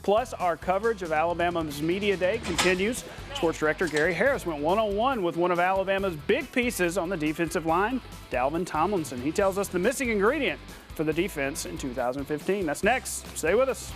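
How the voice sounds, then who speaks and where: An American man anchoring a sports broadcast, reading scripted highlights in a punchy announcer style. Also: expressive, fairly quick, over music.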